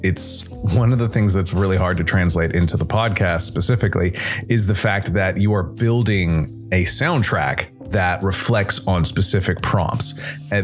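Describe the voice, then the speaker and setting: A man with a fairly low voice hosts a solo podcast. He explains and reviews a game in a conversational way. Light music plays behind him. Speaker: podcast host.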